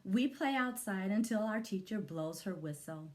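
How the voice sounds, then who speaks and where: A woman reading a picture book aloud to young children in an expressive, deliberate manner, with little asides to her listeners. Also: medium pitch, a little hiss or hum. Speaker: children's story reader, Montessori educator.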